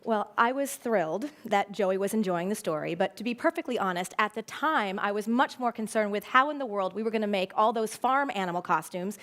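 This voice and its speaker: A middle-aged woman speaking as an educator in a prepared talk, telling stories in an expressive, emphatic way. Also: medium pitch, fairly quick, few pauses. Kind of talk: prepared public talk with storytelling.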